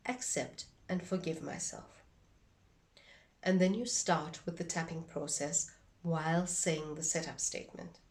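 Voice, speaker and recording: A woman giving an instructional demonstration in a measured, deliberate way, phrase by phrase. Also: on the low side, distant mic. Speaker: instructor demonstrating a tapping technique.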